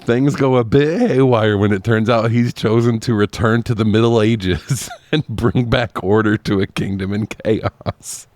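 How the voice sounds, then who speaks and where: A man's voice: lowish voice, steady tone, a little slow, hardly any pauses, a little mellow. A man co-hosting a podcast, talking casually and conversationally about films. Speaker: podcast co-host. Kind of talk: casual film review chat.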